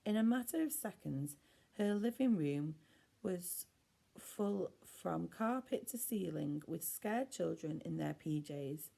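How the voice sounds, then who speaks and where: A woman's voice: lowish voice, lively intonation, slow pace, creaky, mellow. A woman reading a children's storybook aloud expressively, as a teacher does for a class.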